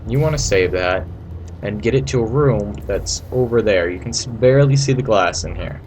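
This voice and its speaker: A low-pitched man talks through a video game in a casual, rambling style. He sounds informal and playful, like an amateur creator guiding viewers. The recording is homemade, with some noise behind the voice. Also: lively intonation, normal speed, darkish tone. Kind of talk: casual gameplay commentary. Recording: noisy amateur home recording.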